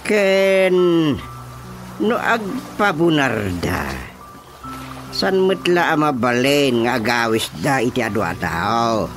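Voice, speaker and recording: A man's voice: mid-range voice, sing-song, takes it slowly. A man playing a blunt village chairman in an Ilocano radio comedy drama, with an Ilocano accent. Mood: explaining. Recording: music bed behind the voice.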